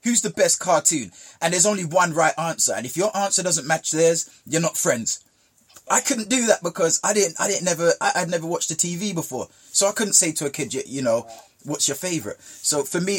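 A man's voice: a little high, breathy edge. A man from Jamaica telling childhood stories in casual conversation, with emphatic repetition. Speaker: guest.

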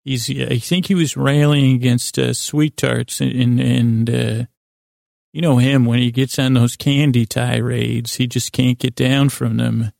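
An American man hosting a sleep podcast in a meandering, halting monologue full of self-interruptions, with a whimsical, self-deprecating manner. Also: mid-range voice, steady tone, vocal fry, darkish tone, no room sound, clean studio sound. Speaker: podcast host.

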